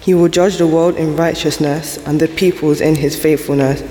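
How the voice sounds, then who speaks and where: A man reading scripture aloud in a church service at a steady, even pace. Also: fairly high pitch, moderate pace. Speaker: scripture reader. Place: large church. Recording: echoey church with long reverb and faint room noise.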